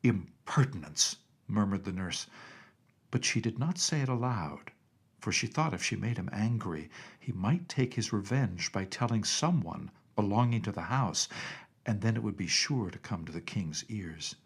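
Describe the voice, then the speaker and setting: A man's voice: lowish voice, expressive, moderate pace, slightly rough. A man narrating a children's storybook from a script as an audiobook reader.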